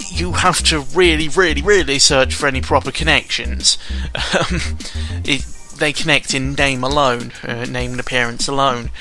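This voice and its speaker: A man's voice: fairly high pitch, pushed and bright. A man giving casual, rambling video game commentary in an opinionated, sweary and exasperated manner. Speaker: gaming vlogger.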